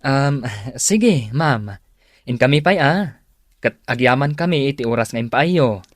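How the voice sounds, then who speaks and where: A man's voice: medium pitch, expressive, a little slow, dry recording, clean studio sound, natural voice. A man with an Ilocano accent acting as a sly friend who investigates for his pal in a radio drama. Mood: scheming, polite. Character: Magno, sly friend investigating a suspected cheater.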